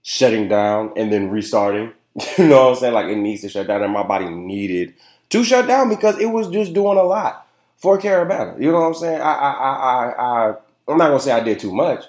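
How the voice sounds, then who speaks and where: A man's voice: mid-range voice, a little mellow. A young man hosting a casual solo podcast, congested and nasal, rambling and chatty with lots of fillers. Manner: rambling and chatty, keeps asking "you know what I'm saying". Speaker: podcast host. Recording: roomy, echoey room sound.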